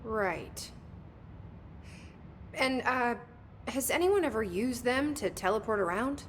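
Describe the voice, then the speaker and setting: A woman's voice: fairly high pitch, animated, slow, slight background noise. A woman playing a guarded, determined young American director in a video game, speaking directly and tersely. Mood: curious, guarded. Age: young adult. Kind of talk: video game dialogue acting.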